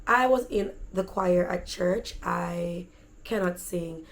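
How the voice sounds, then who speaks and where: Jamaican lady vlogging her stories, deep gravelly raspy voice, chatty, drops into patois. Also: takes it slowly. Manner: animated, chatty, slips into patois. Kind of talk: casual storytelling.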